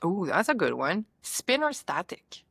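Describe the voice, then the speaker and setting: A young woman with a French Canadian accent, hosting a podcast and asking quick, upbeat questions. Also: fairly low pitch, very animated, vocal fry, fairly bright tone, slightly rough, no echo, studio quality. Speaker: podcast host. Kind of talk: quick-fire interview questions.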